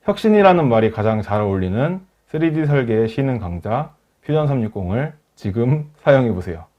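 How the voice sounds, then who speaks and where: Man presenting a software demo, dull audio. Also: mid-range voice, big pitch swings, fairly quick. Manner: explanatory, polite formal speech. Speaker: webinar presenter. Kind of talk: software demonstration, part rehearsed narration.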